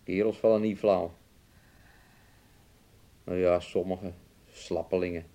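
A man's voice: low voice, fairly even intonation, slow pace, dark tone. An actor in a Dutch radio drama playing a volatile, taunting working-class man who swings between scorn and coaxing. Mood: scornful, boastful.

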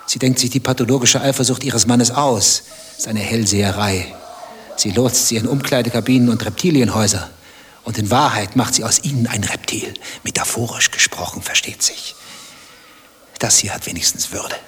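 A man's voice: mid-range voice, normal speed, a bit bright, natural voice. An actor playing a nosy, stubborn male stranger in a satirical German radio play, dry, probing and provocative. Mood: mocking.

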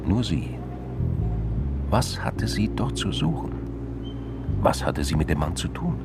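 Male crime drama narrator, extremely low and creaky, scripted delivery over music. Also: big pitch swings, measured pace. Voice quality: gravelly.

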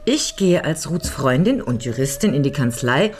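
Radio crime drama: analytical woman lawyer, composed, lower-pitched voice with lively intonation. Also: slight background noise. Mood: purposeful, analytical. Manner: matter-of-fact, measured, analytical. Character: level-headed, methodical woman lawyer, loyal friend.

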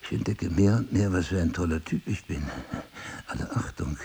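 An actor playing a middle-aged amnesiac husband in an audio drama, husky and gravelly, probing and dryly self-mocking. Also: male voice, deep voice, normal speed, warm tone, exaggerated voice. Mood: wryly self-impressed. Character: amnesiac husband, a crime writer, searching and wryly ironic. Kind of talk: intimate audio drama dialogue.

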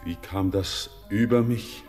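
A lovestruck young man overcome with awe. His slow, halting words ring in a long reverb, pleading fervently in the declamatory style of a classical radio play. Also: fairly low pitch, a little mellow, faint music behind. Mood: awestruck. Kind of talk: classical verse radio drama acting. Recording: long reverberant hall echo.

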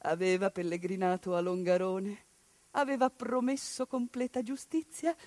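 A woman performing an impassioned theatrical monologue, switching between outbursts and hushed asides. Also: mid-range voice, steady tone, normal speed, no room sound. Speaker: stage actress.

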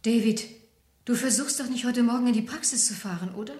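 A woman playing a concerned, insistent, chatty doctor's wife in a German radio crime play, speaking clearly. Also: a little high, fairly quick, warm tone, close to an everyday voice. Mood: insistent, worried. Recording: roomy old radio drama studio.

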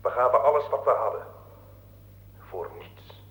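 An actor in a radio play voicing the bitter, weary ghost of a fallen soldier, hushed and fading. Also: male voice, medium pitch, animated, unhurried, lightly acted. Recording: echoing, reverberant radio drama sound.

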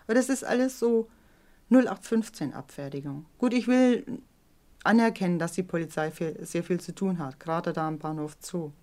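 A woman's voice: fairly low pitch, moderate pace, darkish tone. A middle-aged woman giving personal testimony in a documentary interview, speaking in an emphatic, plain-spoken way. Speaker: interviewee, mother of a teenager.

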